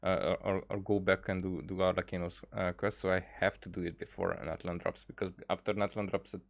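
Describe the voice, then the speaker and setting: A man livestreaming a game in a casual, rambling way, often halting and trailing off mid-thought. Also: sits low, little pitch movement, vocal fry. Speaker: game streamer. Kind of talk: casual livestream chat over gameplay.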